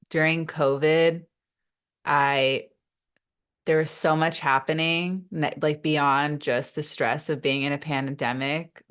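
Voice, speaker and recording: A woman who stutters speaks as a podcast guest, her voice sitting deep and her pace slow. She talks reflectively, filling pauses, and catches on opening sounds before pushing through. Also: clean tone. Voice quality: stutters, with repeated sounds and blocks. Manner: open and reflective, with many fillers. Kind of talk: casual interview talk.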